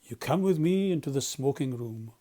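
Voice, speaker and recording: A grave, commanding badger from a children's storybook, put on by a male narrator. He is emphatic and scolding, with a mellow tone. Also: medium pitch, expressive, normal speed, lightly acted. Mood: severe. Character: stern, solemn male badger, commanding elder friend.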